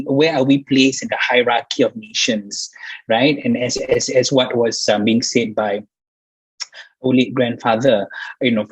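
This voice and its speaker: Halting, slightly husky Singaporean man. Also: mid-range voice, no echo. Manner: halting, repeats phrases, tags with "right" and "you know". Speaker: doctoral researcher on a panel. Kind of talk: informal panel discussion. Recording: slightly dull video-call audio.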